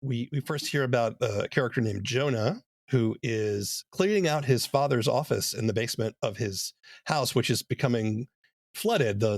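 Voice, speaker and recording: A man's voice: mid-range voice, dry recording, clean studio sound. A man hosting a podcast, giving a conversational review and summary. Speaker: podcast host.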